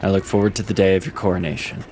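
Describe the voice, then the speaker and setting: An actor playing a loyal, quick-witted inquisitor in a fantasy audio drama, composed and deferential, with clipped delivery. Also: male voice, low-pitched, level tone, background noise, natural voice.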